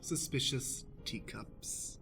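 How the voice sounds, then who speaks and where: A man's voice: slow, a bit bright, soft background music. A man narrating an improvised radio play and reading stage directions in a presentational, announcer-like manner.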